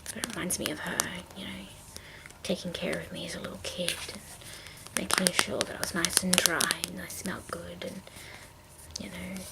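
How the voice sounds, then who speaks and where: A woman whispering in a breathy, hushed, unhurried way with halting pauses, presenting an ASMR show-and-tell. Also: lowish voice, level tone, very noisy. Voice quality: breathy whisper with creaky edges. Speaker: ASMR video host.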